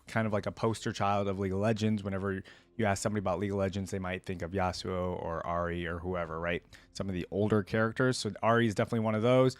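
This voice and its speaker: Guy doing off the cuff reaction commentary, mid pitched voice, kinda rambly, thinks out loud, very dry close mic. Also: dry recording. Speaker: reaction channel host. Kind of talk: casual unscripted commentary to viewers.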